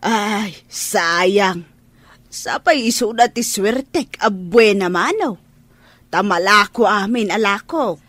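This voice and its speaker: A woman voices a persistent fish vendor in a radio drama. She talks slowly and pleadingly, in a dry, close sound. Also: mid-range voice, expressive, fairly bright tone, dry recording. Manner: pleading sales talk. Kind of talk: Ilocano radio drama acting.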